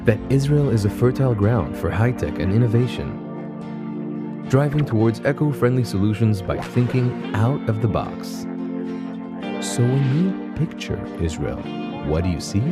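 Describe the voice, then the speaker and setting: A man's voice: mid-range voice, expressive, moderate pace. A man doing scripted, inspirational narration for a promotional video. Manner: inspirational, emphatic. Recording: narration over loud music.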